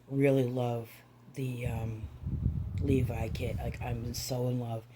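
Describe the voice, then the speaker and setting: A woman chatting softly in a home vlog, her voice raspy and hoarse from a raw throat, halting with many ums and restarts. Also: very deep voice, slight background noise. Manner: soft, hushed, near-whispering. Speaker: doll-collecting vlogger. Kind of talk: casual vlog chat.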